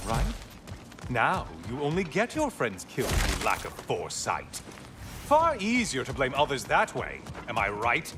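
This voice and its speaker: Vain, bitter game deity: highish voice, hugely animated, sarcastic and taunting, over music. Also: male voice. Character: mocking, bitter, vain sun god back from death. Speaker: video game voice actor. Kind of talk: theatrical video game acting.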